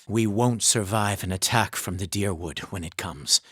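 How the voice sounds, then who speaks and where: Haunted mercenary captain for a fantasy game, proud n stern, grave accusing way of talking, really dry sound. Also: male voice, fairly low pitch, normal speed, fairly bright tone, slightly rough, dry recording. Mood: guarded, stern. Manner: grave, accusatory, formal speech. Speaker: video game character. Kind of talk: dramatic fantasy game voice acting.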